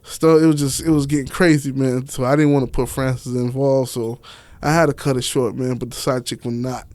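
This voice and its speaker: A man's voice: medium pitch, little pitch movement, fairly quick, a little mellow, dry recording. A man telling personal stories casually as an interview guest, slangy and unfiltered, swearing freely. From Miami, Florida. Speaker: interview guest, author and stage play director.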